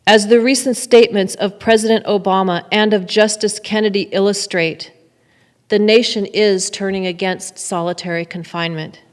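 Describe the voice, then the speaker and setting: A woman's voice: mid-range voice, steady tone, normal speed. Middle-aged woman reading in echoey hall. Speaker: reader in readers theater. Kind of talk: scripted staged reading. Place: large temple hall, at lectern. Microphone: microphone on stand.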